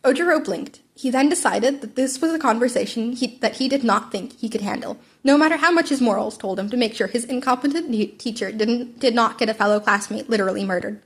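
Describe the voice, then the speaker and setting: A woman's voice: fairly high pitch, brisk, hardly any pauses, breathy edge. A woman reading fan fiction aloud as an informal story narrator.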